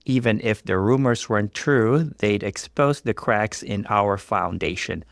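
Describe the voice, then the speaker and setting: A man with a fairly low, gravelly voice reads a scripted first-person story as its narrator. His delivery is dramatic. The recording is tight and dry, with no room sound. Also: unhurried, no echo.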